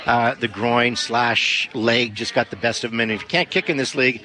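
A man's voice: mid-range voice, fairly even intonation, normal speed, sharp tone. A man hosting a sports talk show, chatty and jokey, restarting his sentences as he explains the team news. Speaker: sports radio host.

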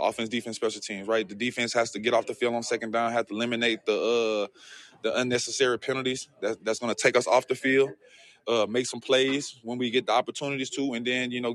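A man who plays football, answering interview questions in a loose, rambling way full of fillers and restarts. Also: medium pitch. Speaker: football player.

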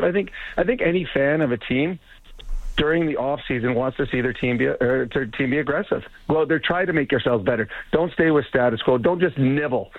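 A man with a slightly rough voice calling in as a sports analyst, rambling with restarts. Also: mid-range voice, no room sound. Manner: rambling, restarts, laughs easily. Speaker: radio analyst guest. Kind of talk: phone-in sports chat. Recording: tinny phone line.

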